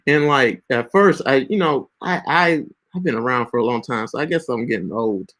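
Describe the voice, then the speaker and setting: A man's voice: mid-range voice, expressive, moderate pace, a bit bright. A man in his late twenties giving casual, preachy social media advice with lots of fillers and restarts. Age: 20s. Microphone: screen-share recording setup.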